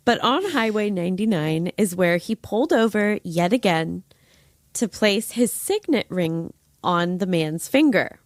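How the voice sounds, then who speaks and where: A woman's voice: medium pitch, expressive, a little slow, no room sound. A woman podcast host telling a story in a casual, chatty style.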